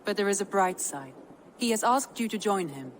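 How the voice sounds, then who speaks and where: A woman playing a composed, wryly amused Viking-age advisor in a video game, with measured delivery. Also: mid-range voice, lively intonation, a little hiss or hum, natural voice, rough recording. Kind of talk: dramatic video game acting.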